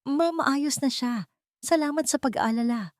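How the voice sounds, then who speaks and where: A woman's voice: high voice, a little slow, dry recording, clean studio sound, voice pushed up. A woman voicing a guarded, frightened woman who turns defensive and desperate in a dramatic story. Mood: nervous, stammering. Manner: stammering, then sharp and desperate. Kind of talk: dramatic audiobook character voice.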